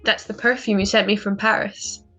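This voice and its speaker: A woman playing a loving young wartime wife in a 1940s-style radio drama, affectionate and gently wry. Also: mid-range voice, level tone, normal speed. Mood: affectionate, playful. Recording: dull muffled audio, faint music.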